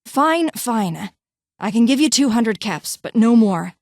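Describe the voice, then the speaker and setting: A woman's voice: on the high side, lively intonation, a little slow, darkish tone, no room sound, studio quality. A woman voicing a brusque, condescending vault doctor and scientist in a video game, with clipped, formal, haughty delivery. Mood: dismissive. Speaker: voice actor in a game mod. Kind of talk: scripted game character dialogue.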